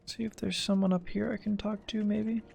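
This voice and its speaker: A man's voice: sits high, flat delivery, brisk, creaky, background noise. A man doing offhand gameplay commentary as a streamer, muttering and reading game text aloud.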